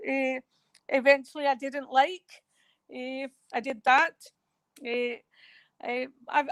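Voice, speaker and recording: A woman's voice: high-pitched, no echo. A woman talking as a guest in a remote radio interview, rambling and hesitant with many fillers. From Scotland.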